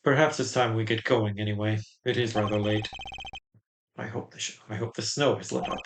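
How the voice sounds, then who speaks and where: A man voicing a calm, refined young male prosecutor with a dry, measured, quiet delivery while reading game lines. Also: slight background noise, close to an everyday voice, low-quality recording. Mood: composed. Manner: dry, measured, low-key.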